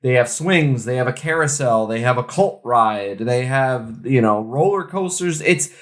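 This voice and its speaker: A man's voice: medium pitch, animated, measured pace, a bit bright. A man co-hosting a podcast in casual chat, informal and jokey with exclamatory phrasing. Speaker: podcast co-host. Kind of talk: casual chat about beer.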